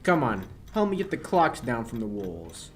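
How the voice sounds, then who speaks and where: A young man playing a smooth-talking crook in a 1940s radio play, breezy and sardonic with menace underneath. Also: mid-range voice, very animated, moderate pace, slight background noise, natural voice. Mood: smug. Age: young adult.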